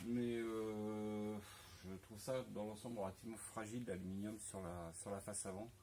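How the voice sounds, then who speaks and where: A fairly low-voiced man in his forties with a French accent walks through his camper van build, pausing often and restarting his sentences. He wears a lapel mic in a big indoor workshop, with faint ambient noise behind him. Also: warm tone. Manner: hesitant, many fillers and restarts. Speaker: vlogger showing a camper van rebuild. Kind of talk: casual explanatory walkthrough. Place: large workshop garage, indoors.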